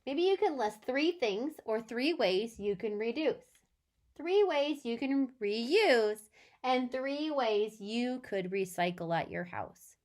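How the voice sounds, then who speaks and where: Female elementary teacher, animated read-aloud for young children, slightly high pitch, very slow deliberate delivery, question-led.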